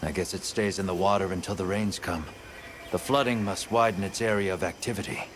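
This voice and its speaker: Man acting male hunter, fairly low voice, calm, practical, game cutscene, noisy ambience. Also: brisk, natural voice. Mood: calm, inquiring.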